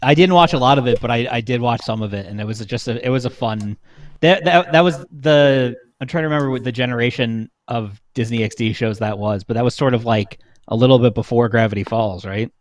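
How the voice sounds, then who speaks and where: A man's voice: mid-range voice, brisk, dry recording. A young man talking casually as a podcast panelist. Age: young adult. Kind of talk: casual chat.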